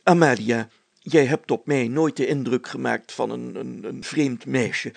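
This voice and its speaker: A man's voice: medium pitch, creaky, dry recording. A man voicing a pompous, fatherly and easily flustered village mayor in a storybook, stammering and drawing out his phrases. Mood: puzzled. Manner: stammering, deliberate, drawn-out phrasing.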